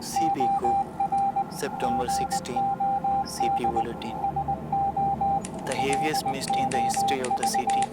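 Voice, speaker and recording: A woman performs a grave wire bulletin for an audio drama. The low voice is formal and ominous, swinging widely in pitch under loud music. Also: wildly swooping pitch, moderate pace, pauses often, very noisy. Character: grave wire bulletin reporting a creeping menace. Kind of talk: scripted news bulletin reading in audio drama.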